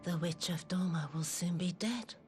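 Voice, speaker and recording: An actress playing a bitter, brooding woman in a video game, musing in a breathy near whisper. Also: on the low side, normal speed, darkish tone, soft background music, close to an everyday voice. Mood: bitter, wistful. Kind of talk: dramatic video game cutscene acting.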